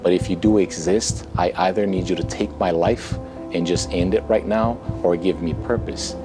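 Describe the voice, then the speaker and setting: A man in his thirties with a Brazilian accent, speaking English as a second language in an earnest, reflective personal testimonial. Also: lowish voice. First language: Portuguese. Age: 30s. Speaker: author and entrepreneur promoting a book. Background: music.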